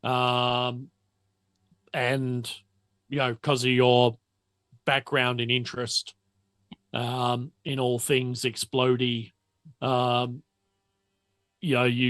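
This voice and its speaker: A man's voice: mid-range voice, fairly bright tone, dry recording. A man narrating a tabletop roleplaying game as game master, hesitantly thinking aloud as he goes.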